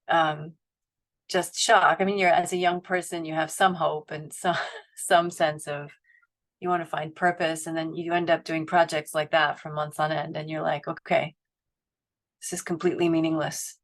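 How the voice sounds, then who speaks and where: A woman's voice: low voice, flat delivery, normal speed. A middle-aged woman on a panel telling her own career story in a reflective, roundabout way, with many restarts and fillers, speaking over a video call from home. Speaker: panelist at a family foundation. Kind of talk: webinar panel talk.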